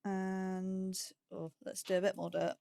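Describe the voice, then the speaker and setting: A woman's voice: mid-range voice, monotone, unhurried, vocal fry, mellow, a little hiss or hum. Chatty woman doing casual gaming commentary. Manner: chatty, self-deprecating, musing aloud. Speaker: gaming YouTuber, host of a let's play series. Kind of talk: casual let's play commentary.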